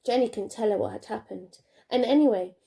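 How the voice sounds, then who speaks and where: A woman reading a children's storybook aloud like a primary teacher, expressively, with dramatic pauses and emphasis. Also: fairly high pitch, creaky, dark tone.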